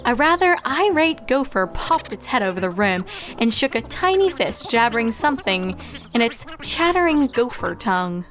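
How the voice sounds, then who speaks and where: A woman's voice: on the high side, big pitch swings, moderate pace. A woman reading fan fiction aloud as scripted storybook narration, with music behind.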